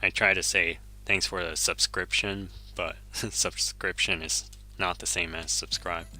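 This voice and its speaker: Male game streamer, Japanese first language; deep, very bright and forceful tone, relaxed self-correcting chatter over faint game music. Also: a little slow. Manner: easygoing, chuckles often, self-correcting. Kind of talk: casual gameplay commentary, reading chat.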